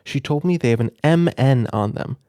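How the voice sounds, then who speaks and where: A man voicing a brisk, sensible female bunny detective in a children's storybook, with matter-of-fact delivery. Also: mid-range voice, warm tone, dry recording, studio quality, lightly acted. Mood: brisk, focused.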